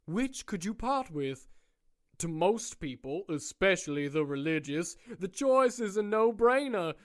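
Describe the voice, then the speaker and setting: An actor playing a smug, condescending prison warden who speaks slowly and deliberately in an audio drama. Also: male voice, high-pitched, very animated. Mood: menacing. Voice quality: smooth, condescending, slow and deliberate. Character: smug menacing male prison warden, middle-aged.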